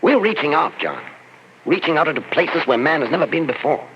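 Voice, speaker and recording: A man's voice: a little high, expressive, a little fast, lightly acted. An actor playing a brooding, uneasy male professor leading a scientific experiment in a 1940s radio drama. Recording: dull lo-fi old radio recording with hiss.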